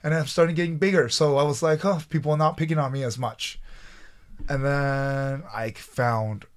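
A man in his thirties from Taiwan, raised in Canada, telling his story as a podcast guest in a small studio, animated and halting with restarts. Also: mid-range voice. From Taiwan, raised in Canada from age nine. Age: 30s. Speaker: guest, pro athlete. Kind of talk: storytelling in an interview. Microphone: desk mic on boom arm.

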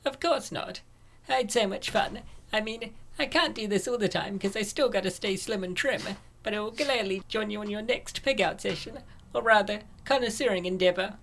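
A woman's voice: mid-range voice, normal speed, fairly bright tone. Woman playing poised young rich friend. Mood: relaxed, indulgent. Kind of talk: comic dub acting.

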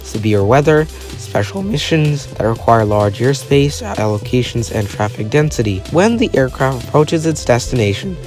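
A man's voice: medium pitch, lively intonation, moderate pace, hardly any pauses, darkish tone. A man reading scripted narration for a documentary-style explainer. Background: background music.

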